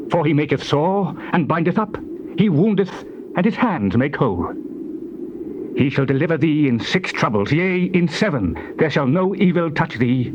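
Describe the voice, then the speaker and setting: An actor playing a solemn, admonishing friend in a dramatized Bible reading, theatrical and measured in delivery. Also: male voice, a little high. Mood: exhorting. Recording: muffled, noisy old cassette tape.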